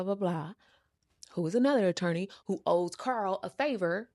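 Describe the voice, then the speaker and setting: A woman with an American accent telling a story casually, with a sassy, animated, emphatic and slangy delivery. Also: fairly low pitch, no echo, clean studio sound. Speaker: storytime show host. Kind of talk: casual storytelling, acting out quoted conversations.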